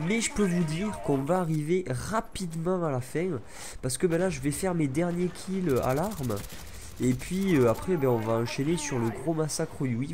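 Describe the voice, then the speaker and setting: Sniffling young man with a head cold. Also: fairly high pitch. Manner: rambling, chatty, many restarts and fillers. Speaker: gaming YouTuber. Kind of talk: gameplay commentary.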